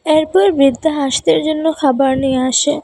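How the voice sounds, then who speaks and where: A woman's voice: high-pitched, steady tone, brisk, warm tone, rough recording. A woman reading scripted narration for a children's story.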